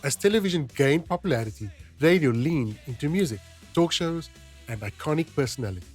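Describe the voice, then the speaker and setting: A man's voice: a little high, expressive, fairly quick, a little mellow, soft background music. A man hosting a podcast, reading scripted narration in a dramatic storyteller's manner. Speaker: podcast host.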